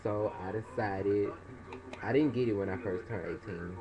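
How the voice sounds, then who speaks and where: A man's voice: normal speed, a crowd in the background. A young man, casually telling a story in a vlog and acting out other people's voices. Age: young adult. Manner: animated, acts out other people's voices in high pitch. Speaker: vlogger. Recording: dull lo-fi webcam audio.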